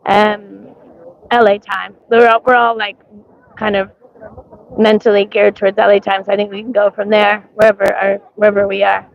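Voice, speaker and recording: A woman's voice: mid-range voice, level tone, moderate pace, creaky, highs cut off. A woman with a mixed accent and a scratchy voice from coughing, livestreaming outdoors at a street parade and rambling with many ums. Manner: rambling, many ums, greets viewers by name. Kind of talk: casual livestream chat.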